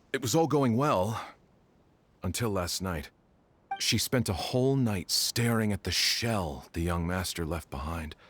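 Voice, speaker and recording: A man playing a sombre, courteous family doctor in a video game, speaking in a formal, measured, grave way. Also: on the low side. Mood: sad concern.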